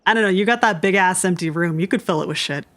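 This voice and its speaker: A woman's voice: on the low side, fast talker, fairly bright tone. Casual woman gamer commenting over music. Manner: irreverent, jokey, informal. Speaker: video game streamer, host. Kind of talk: casual game commentary, reading along and reacting. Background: game music.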